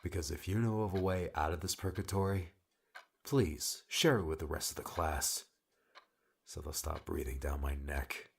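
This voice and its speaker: Man acting a husky, deep-voiced, brusque rescuer. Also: steady tone, moderate pace, heavily acted. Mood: sardonic, stern. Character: weary, brusque male rescuer, frustrated, secretly caring. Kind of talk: dramatic audiobook character dialogue.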